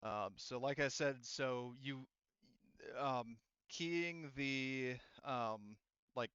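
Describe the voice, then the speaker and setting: A man narrating a tabletop roleplaying game as game master in a casual, halting, conversational way. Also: medium pitch, fairly bright tone, clear, resonant tone, dry recording, muffled recording. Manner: halting, conversational explaining with quoted character lines.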